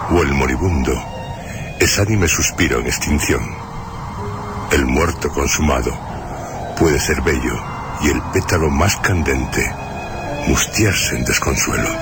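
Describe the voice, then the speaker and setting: A man presenting and narrating a radio drama in a solemn, measured, literary style. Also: a bass voice. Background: music.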